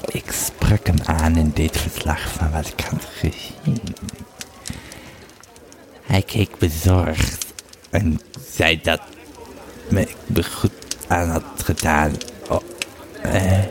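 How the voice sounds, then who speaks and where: An actor playing an old, tipsy Roman legionary who haltingly recounts his frightening tale in an audio drama, stammering and losing his thread. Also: very deep voice, steady tone, crowd noise. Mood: uneasy, recounting. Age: old man as played. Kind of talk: first-person audio drama storytelling.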